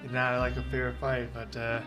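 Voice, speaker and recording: A man's voice: medium pitch, completely flat delivery, normal speed, over music. A man giving casual, rambling commentary while playing a game, loose and full of fillers. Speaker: streamer.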